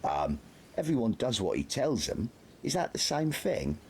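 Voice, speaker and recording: A man's voice: medium pitch, big pitch swings, moderate pace, gravelly, a little hiss or hum, pitched above the performer's own voice. A man voicing a scruffy young petty thief who whines and protests indignantly in an audiobook. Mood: nervous. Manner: whining, indignant, tearful protests. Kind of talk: audiobook character voice.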